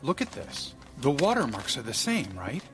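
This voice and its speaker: A griping detective's partner in an audio drama. He is doubtful and sarcastic with very lively intonation, and music sits lightly behind. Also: medium pitch, moderate pace. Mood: puzzled.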